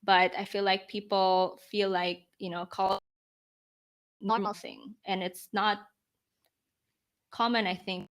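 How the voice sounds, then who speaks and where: A woman's voice: mid-range voice, flat delivery, slow pace, long pauses. A woman of about twenty speaking reflectively and informally in an interview, often dropping in "like" and "you know". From Philippines. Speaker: podcast guest, startup founder.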